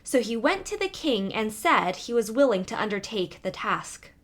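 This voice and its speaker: A woman's voice: a little high, big pitch swings, normal speed, a bit bright. A woman reading a fairy tale aloud as a storybook narrator.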